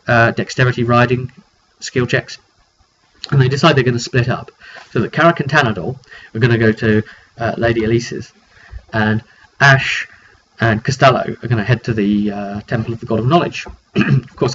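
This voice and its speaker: A man's voice: medium pitch, a bit bright. British guy chatting through his tabletop game recap, dry little asides, kinda muffled lo-fi audio w some noise. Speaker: game master recounting a tabletop roleplay session. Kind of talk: casual unscripted recap to camera. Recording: dull lo-fi sound with clear background noise.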